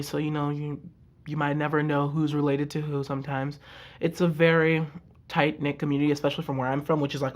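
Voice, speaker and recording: A sixteen-year-old student from Nigeria, chatting casually in a documentary interview. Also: male voice, a little high. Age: teens. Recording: music underneath.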